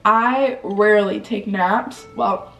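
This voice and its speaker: A woman's voice: medium pitch, steady tone, slow, vocal fry, fairly bright tone, roomy sound, far from the mic, soft background music, poor audio. Congested young woman chatting candidly. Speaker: vlogger. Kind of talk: casual vlog chat.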